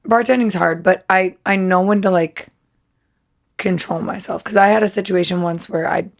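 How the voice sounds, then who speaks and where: A young woman with a raspy voice, chatting casually as a podcast co-host, laughing and saying "like" a lot. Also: medium pitch, steady tone, moderate pace.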